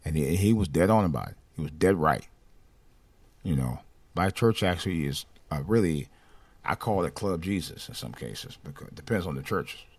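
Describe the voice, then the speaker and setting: A man talking casually about his own life, rambling and restarting phrases, with a light voice that has little bass in it. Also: expressive, moderate pace, slightly rough, dry recording. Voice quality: light voice without much bass. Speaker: podcast host. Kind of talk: casual personal monologue.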